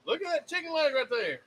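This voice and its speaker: A man's voice: very high voice, a bit bright, a little hiss or hum, low-quality recording. A man from Mississippi with a Southern accent, co-hosting a casual chat show and telling folksy stories with plenty of laughter. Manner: folksy storyteller, laughs often. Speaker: co-host.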